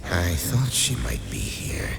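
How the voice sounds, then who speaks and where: An actor playing a stern, imperious undead lich who speaks tersely into a vampire's mind in a dark fantasy radio play. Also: male voice, low voice, sing-song, slow pace, exaggerated voice. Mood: grave, measured. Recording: music behind the voice.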